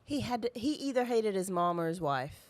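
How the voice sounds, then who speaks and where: A woman with a mid-pitched voice co-hosts a true-crime podcast. She reacts in casual chat, breaking in with questions and blunt opinions. Her microphone is close, with very little room sound. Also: lively intonation, brisk, no room sound.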